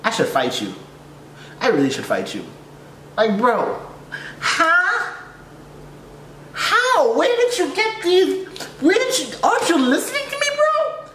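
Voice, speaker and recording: Young male reactor, animated and exasperated, slangy storytelling with lots of repetition, recorded in an echoey room. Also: very high voice, takes it slowly, long pauses. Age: young adult. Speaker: reaction video host, air traffic controller. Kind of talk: casual reaction commentary with storytelling. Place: small furnished room indoors. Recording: roomy echo, faint background noise.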